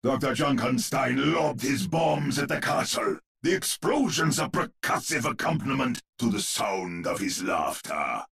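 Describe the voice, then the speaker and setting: An actor playing a grim narrator of gothic horror tales in a video game, with a gravelly, husky voice and grandiose, suspenseful delivery. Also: male voice, mid-range voice, animated, measured pace, hardly any pauses, a bit bright, heavily acted. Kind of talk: theatrical gothic horror storytelling.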